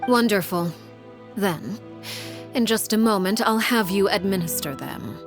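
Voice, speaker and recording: A woman playing a cold, commanding leader known as a father figure, speaking in a deliberate, formal, controlled way in a video game. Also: mid-range voice, over music, lightly acted. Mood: cold, solemn.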